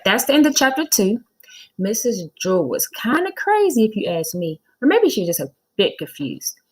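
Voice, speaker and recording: Female read-aloud teacher: very lively pitch, lightly husky, deliberate storybook delivery for children. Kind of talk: expressive storybook narration for children.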